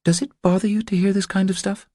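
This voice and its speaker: Man voicing an earnest, higher-pitched young mother. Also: little pitch movement, fast, warm tone, no room sound, clean studio sound. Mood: earnest, confiding. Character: Carmen, earnest pleading young mother confiding her troubles. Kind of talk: audiobook character dialogue.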